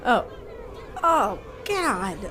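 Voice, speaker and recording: An actress playing a brisk, dry captain of crash survivors in an audio drama. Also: female voice, fairly high pitch, big pitch swings, crowd noise, background noise, heavily acted. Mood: dry, commanding.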